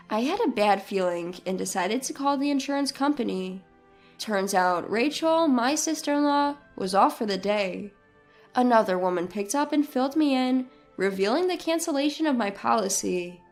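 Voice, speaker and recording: High-voiced woman narrating a story over music. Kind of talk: scripted first-person story narration. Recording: music behind the voice. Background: background music.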